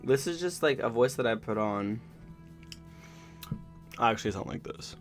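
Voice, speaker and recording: A jokey man co-hosting a relaxed art livestream, firing off quick reactions. His voice sits at a middling pitch, with faint music behind him. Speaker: indie animation team member, stream co-host. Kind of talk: casual livestream chat.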